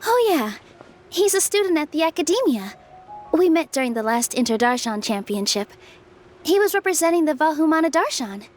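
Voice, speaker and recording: An actress playing a gentle, caring, earnest young woman in an anime game dub, sweet and polite. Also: high-pitched, sing-song, moderate pace, faint music behind. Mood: curious.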